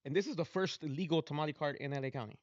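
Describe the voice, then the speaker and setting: A man's voice: on the high side, fast talker, no echo. A man hosting an interview, asking questions and giving brief encouraging replies. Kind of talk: casual interview. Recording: dull dry podcast audio.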